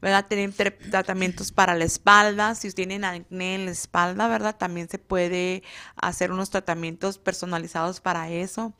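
A woman's voice: lowish voice, flat delivery, moderate pace, bright, forceful tone, clear, resonant tone. Hesitant Spanish-speaking woman, many fillers. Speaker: beauty school instructor. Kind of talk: casual radio interview.

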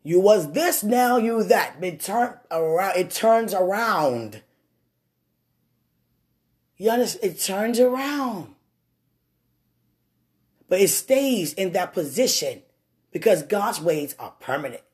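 A woman with a deep voice giving an animated testimony and preaching, swinging from loud bursts to hushed asides. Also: takes it slowly, pauses often.

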